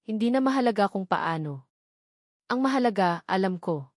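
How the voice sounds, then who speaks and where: A serious, soft-spoken young billionaire as a woman narrator acts him out for an audio romance. He is brooding and wounded, confiding with earnest weight. The sound is dry and close. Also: medium pitch, lively intonation, moderate pace, dry recording, lightly acted. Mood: serious, probing.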